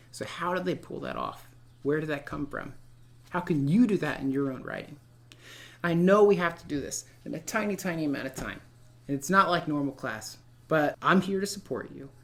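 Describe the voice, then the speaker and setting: A man teaching literature in a read-aloud lesson, speaking in an expressive, informal and encouraging way. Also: on the high side, creaky. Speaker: middle school literature teacher. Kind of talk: read-aloud lesson, narrating a novel and addressing students.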